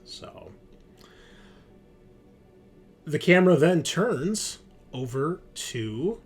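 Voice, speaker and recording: A man narrating a tabletop roleplaying game as game master, in an informal, halting way. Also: fairly high pitch, sing-song, husky. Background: music.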